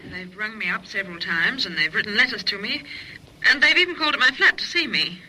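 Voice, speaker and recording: Sharp-voiced, frightened caller. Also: female voice, mid-range voice, animated, fast talker, a little hiss or hum, poor audio. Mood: anxious, urgent. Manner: anxious, hesitant, pleading. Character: frightened, secretive female caller reporting blackmail. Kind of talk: old radio detective drama acting.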